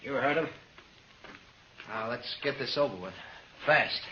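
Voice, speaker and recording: A man's voice: mid-range voice, expressive, unhurried, lightly acted. Nervy, menacing gunman played by a man, echoey sound. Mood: gloating, edgy. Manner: jittery, barking short orders. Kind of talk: 1950s radio western acting. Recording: roomy, lo-fi, noisy 1950s radio recording.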